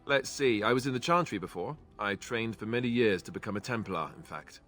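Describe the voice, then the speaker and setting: Young fantasy warrior, lowish voice, wry, self-deprecating, awkwardly sincere, game dialogue. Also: male voice, expressive, a little fast, fairly bright tone, faint music behind. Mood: wry, joking. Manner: joking, hesitant, conversational. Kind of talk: fantasy video game acting.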